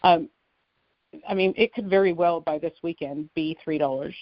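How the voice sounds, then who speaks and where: Female caller, lowish pitch, earnest explainer, conversational, on a dry, slightly muffled conference line. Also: moderate pace, no echo. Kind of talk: casual explanatory call-in talk. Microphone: telephone conference line.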